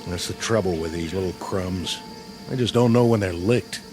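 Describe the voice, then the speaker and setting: An American actor playing a weary, cynical army sergeant with sardonic, slangy talk in a radio drama. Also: male voice, deep voice, normal speed, music in the background, lightly acted. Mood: weary, musing.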